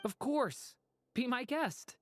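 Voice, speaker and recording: A woman voicing a gentle, earnest young dragon boy in a video game, polite and innocent. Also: high voice, animated, slow pace, faint music behind. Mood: concerned, polite. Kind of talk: video game character acting.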